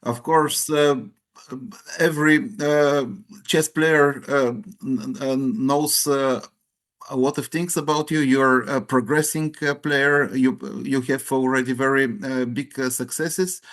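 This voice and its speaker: A man, a company head, asking interview questions in a halting way, full of fillers. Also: medium pitch, fairly even intonation, a bit bright.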